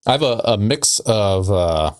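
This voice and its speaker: Male podcast co-host, lower register, wry and easygoing, casual joke-telling chat. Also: lively intonation, measured pace.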